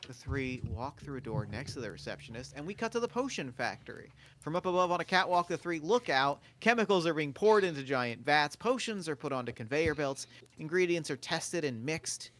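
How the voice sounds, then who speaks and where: A man's voice: fairly high pitch, expressive, brisk, fairly bright tone. A man reading scripted stage directions as the narrator of a table read.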